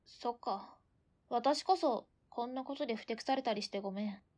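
A woman's voice: a little high, moderate pace, darkish tone, close to an everyday voice. A woman acting in a Japanese radio drama as an orphaned young girl, sulky at times yet sincere and affectionate. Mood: subdued, sorry. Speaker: voice actor in an audio drama.

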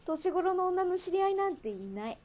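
A woman's voice: extremely high pitch, big pitch swings, moderate pace, a little mellow. A woman acting in a radio drama as a brash underworld gang boss, with blunt, mannish, teasing speech. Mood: breezy.

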